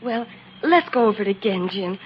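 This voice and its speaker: An actress playing an eager, anxious, inquisitive sidekick to a detective hero in an old-time radio adventure. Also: female voice, mid-range voice, fairly quick, lightly acted. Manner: inquisitive, animated. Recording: muffled, noisy old radio recording.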